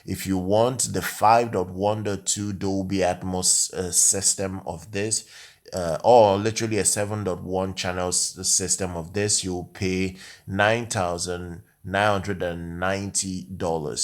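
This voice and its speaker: A man's voice: low-pitched, fairly even intonation, a little slow. A man giving a casual, unscripted product review, talking in hyperbole and repeating himself with many filler phrases. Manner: repetitive, hyperbolic, frequent filler phrases like "literally" and "you know". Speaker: tech review channel host.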